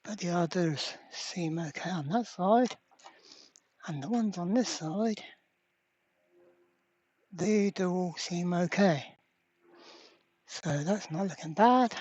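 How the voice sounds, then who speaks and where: Slow-talking repair presenter, dull recording. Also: medium pitch, a little mellow, breathy edge, no echo. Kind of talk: casual hands-on workbench commentary. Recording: dull, muffled lo-fi sound.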